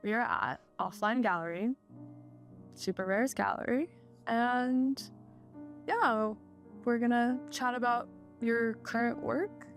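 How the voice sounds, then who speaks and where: A woman's voice: on the high side, takes it slowly, pauses often, faint music behind. A young woman interviewing an artist in a gallery, in a friendly, upbeat conversational manner. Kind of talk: casual interview. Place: art gallery.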